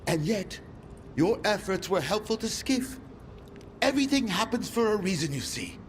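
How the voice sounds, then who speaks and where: An actor playing a composed, philosophical scheming leader in a video game, speaking in a measured, wry way. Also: male voice, high-pitched, sing-song. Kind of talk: dramatic video game acting. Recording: faint background noise.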